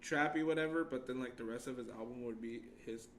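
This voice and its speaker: A man's voice: medium pitch, a little fast, a bit bright. A young man hosting a casual podcast chat, easygoing and slangy, often ending lines with "bro.". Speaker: podcast host.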